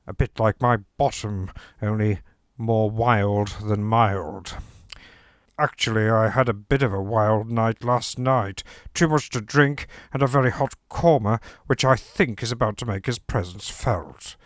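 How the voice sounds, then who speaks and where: A man playing a formal, deadpan radio continuity announcer in a comedy parody. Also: lowish voice, a little slow, no room sound, clean studio sound, natural voice. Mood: deadpan, confiding.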